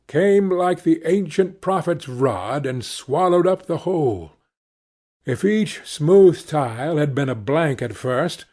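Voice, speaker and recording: A man reading a classic novel aloud as an audiobook, with measured and expressive storytelling. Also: on the high side. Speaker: audiobook reader. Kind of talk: scripted narration of a classic novel.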